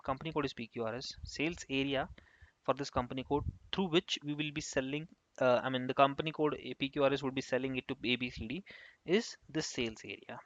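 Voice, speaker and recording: A man giving an unscripted software tutorial, explaining step by step in halting phrases as an instructor. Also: medium pitch, no echo. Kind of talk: screen-share tutorial narration, unscripted. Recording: dull, lo-fi close microphone.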